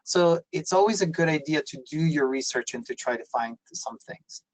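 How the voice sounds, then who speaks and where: A man's voice: on the high side, animated, normal speed, poor audio. A man, raised in Italy, giving a webinar presentation on a video call and explaining things with many fillers and restarts. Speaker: university presenter.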